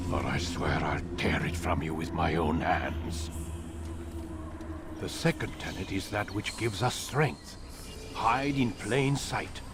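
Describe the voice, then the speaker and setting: An actor playing a stern, imperious old master of assassins in a video game, speaking formally and with command. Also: male voice, fairly low pitch, noisy background. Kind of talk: dramatic video game acting.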